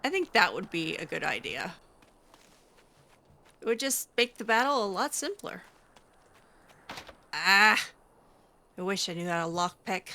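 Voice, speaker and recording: A woman's voice: medium pitch, pushed and bright. A woman playing a video game in character, giving casual commentary with wry, sarcastic, skeptical asides. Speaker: video game player roleplaying as a mage character.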